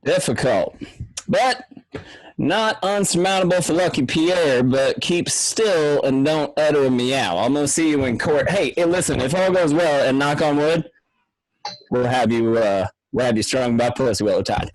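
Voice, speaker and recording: A man voicing a slick, superstitious criminal lawyer around forty in an amateur radio play, talking fast and wheedling. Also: on the high side, dry recording, close to an everyday voice, low-quality recording.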